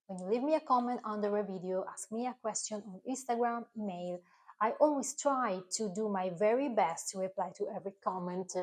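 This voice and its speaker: A woman from Italy whose first language is Italian, speaking English with an Italian accent straight to camera as the creator of a food channel, in an earnest, careful way with slightly halting phrasing. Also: mid-range voice.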